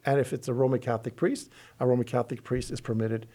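A middle-aged man from Lebanon, a bishop, explaining church teaching in an interview with earnest, halting restarts. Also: mid-range voice, fairly even intonation, mellow.

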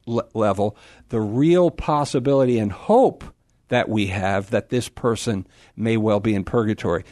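A man's voice: mid-range voice, darkish tone, dry recording, studio quality. A man speaking as a radio show guest, answering callers in an emphatic, exclamatory, affirming way.

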